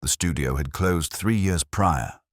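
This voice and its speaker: Very deep-voiced male mystery narrator. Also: a little slow, no echo, studio quality. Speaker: narrator of audio mystery story. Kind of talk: scripted storytelling narration.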